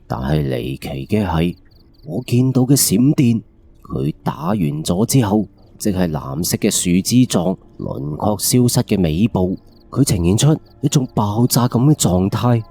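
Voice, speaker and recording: A man's voice: medium pitch, big pitch swings, unhurried, vocal fry, dark tone, slightly rough, dry recording, soft background music. A man with a Cantonese accent narrating a first-person ghost story in a colloquial, suspenseful way with rhetorical questions.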